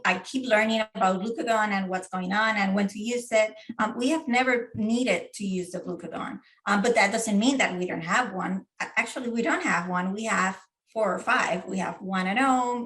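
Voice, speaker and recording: A middle-aged woman speaks as an interview guest. Her voice sits at an ordinary middle pitch, and she talks earnestly, often pausing on fillers and restarting her phrases. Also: fairly bright tone, rough recording. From Puerto Rico. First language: Spanish. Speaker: guest, diabetes advocate and parent. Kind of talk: casual interview.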